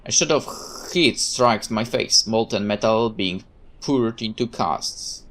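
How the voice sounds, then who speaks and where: Very deep-voiced woman reading a game. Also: slow. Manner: expressive, hesitant reading. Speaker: gameplay narrator. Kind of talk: reading a visual novel aloud.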